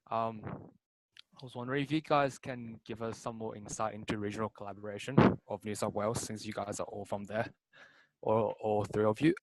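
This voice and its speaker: A man with a low, flat voice asks a question on a video call, hesitating with fillers. The sound is dry and close, with no room echo. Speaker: webinar attendee asking a question. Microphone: computer mic on video call.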